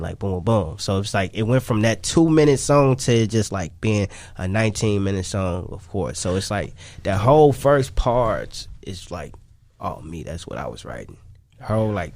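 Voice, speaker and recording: A man's voice: deep voice, vocal fry, darkish tone, no echo. A man talking casually as a guest in a radio interview, rambling and repeating himself with slang tags. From Chicago, United States. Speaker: music artist and songwriter, interview guest.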